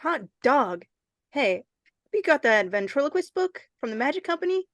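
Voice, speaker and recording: An excitable little schoolboy acted by a woman in an old-time radio thriller. The voice is high and swings widely as he talks in folksy slang, eager one moment and skeptical the next. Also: moderate pace, no room sound, clean studio sound. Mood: excited, eager. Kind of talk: old-time radio suspense drama, performed live.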